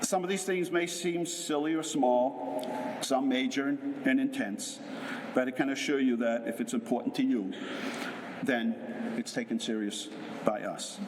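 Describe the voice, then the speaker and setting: A man's voice: mid-range voice, unhurried. A man, the mayor, giving an informal ceremonial speech with a proud, folksy manner. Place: large city hall chamber. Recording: echoey hall with crowd noise.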